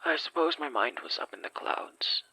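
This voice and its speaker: Horror audio drama: a reluctant, apologetic survivor of a fire, rasping yet bright, halting delivery. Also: female voice, low voice, huge pitch swings, no echo, whispered, full character voice, rough recording. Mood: uneasy recollection. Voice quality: hoarse, breathy. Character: shaken, hesitant fire survivor recounting something strange.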